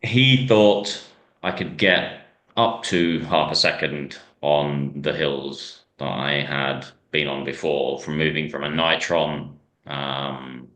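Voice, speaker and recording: A man from Ireland, a racing driver being interviewed, telling stories in a rambling way with many pauses and restarts. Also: extremely low pitch, fairly even intonation, a bit bright. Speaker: hill climb driver, guest. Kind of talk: casual interview chat.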